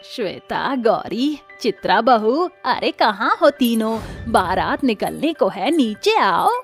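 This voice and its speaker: A woman plays a fussy village mother-in-law in a cartoon story. Her voice is high and theatrical, as if calling to family, with faint music behind. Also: very animated, slow, exaggerated voice. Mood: hurried, calling.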